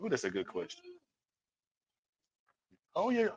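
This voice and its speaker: A man's voice: fairly high pitch, huge pitch swings, unhurried, dull audio. A man making casual, joking, exclamatory side comments as a co-host. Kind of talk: casual interjecting banter.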